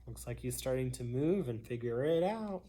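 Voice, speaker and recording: A woman reading a children's story aloud expressively, pausing between phrases and adding chatty asides. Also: rumbling low, lively intonation, warm tone, a little hiss or hum. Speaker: storybook reader.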